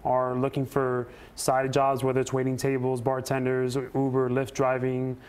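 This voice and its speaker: A man speaking as a union representative in a news interview, earnest and emphatic, with deliberate phrasing. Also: medium pitch, monotone, darkish tone. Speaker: air traffic controller and union representative, interview guest. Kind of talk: live news interview answers.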